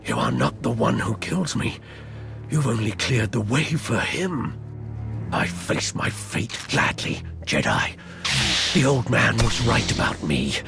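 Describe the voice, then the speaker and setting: An actor voicing a menacing male Sith lord who speaks slowly and calmly, in a game cutscene. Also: medium pitch, vocal fry, music in the background. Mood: resigned. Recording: game audio over loud score.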